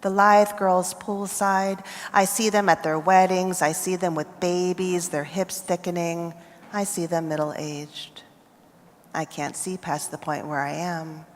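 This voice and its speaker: Slow, measured American woman poet. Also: lowish voice, steady tone. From United States. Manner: measured, deliberate phrasing with pauses between lines. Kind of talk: poetry reading. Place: festival reading hall. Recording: reverberant hall.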